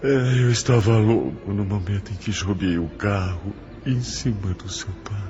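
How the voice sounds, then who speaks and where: A man playing a stricken, remorseful old man in an audio drama, halting and pleading as he begs forgiveness. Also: on the low side, a little mellow, lightly acted. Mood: anguished remorse. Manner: slow, halting, pleading delivery. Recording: dull lo-fi audio with music underneath.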